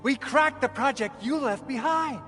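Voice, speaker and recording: A man voicing an excitable, melodramatic chief engineer in a video game, effusive and easily flustered. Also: very high voice, a little slow, long reverb tail, soft background music. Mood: earnest, relieved.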